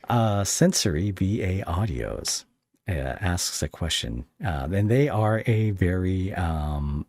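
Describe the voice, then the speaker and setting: A man hosting a casual interview podcast, halting and rambling as he thinks aloud and puts questions to a guest. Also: sits low, lively intonation, darkish tone, no echo, clean studio sound.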